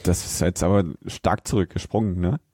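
A man's voice: low-pitched, fairly even intonation, a little fast, warm tone, no room sound, clean studio sound. A man, co-hosting a podcast in casual chat about a film. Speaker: podcast co-host.

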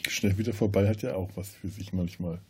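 A man's voice: deep voice, fairly even intonation, fairly quick, darkish tone, breathy edge. A man chatting casually and wryly as a podcast host. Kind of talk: casual chat.

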